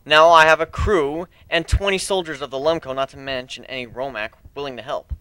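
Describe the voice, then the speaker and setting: A man's voice: a little high, fairly quick, bright, forceful tone, clear, resonant tone, no echo, poor audio. A man playing a cocky griffin pirate captain and dragon slayer, speaking in a boastful, commanding, emphatic way. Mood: boastful, menacing.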